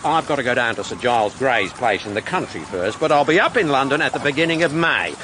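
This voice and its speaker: A man's voice: medium pitch, lively intonation, fast talker, lightly acted. Blustering Australian businessman played by a man, noisy background. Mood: goading. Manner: blunt, scoffing, challenging. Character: stubborn, blustering Australian businessman, goading his friend. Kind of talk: radio drama acting.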